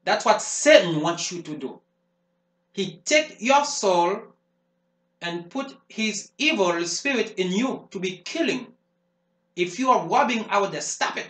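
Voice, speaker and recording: An actor playing a fervent preacher who speaks in emphatic, repetitive sermon phrases. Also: male voice, sits high, expressive, a little slow, fairly bright tone. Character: fervent male preacher warning of the devil. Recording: roomy echo.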